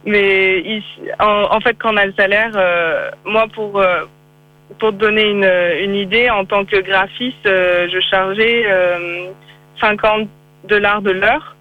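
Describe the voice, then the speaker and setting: A woman's voice: mid-range voice, steady tone, unhurried, faint music behind. Young French woman over a tinny line. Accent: slight English-tinged French. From France. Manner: hesitant, many fillers and restarts. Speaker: interviewee, freelance graphic designer. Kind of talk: casual interview over a call. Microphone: phone or call line.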